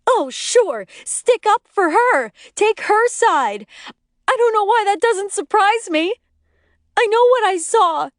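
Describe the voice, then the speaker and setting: Casting: female narrator as hysterical jealous young wife, very high and shrill, melodramatic, accusing, audiobook drama. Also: wildly swooping pitch, moderate pace, few pauses, a bit bright, no room sound, clean studio sound. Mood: screaming, hysterical. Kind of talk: acted audiobook dialogue.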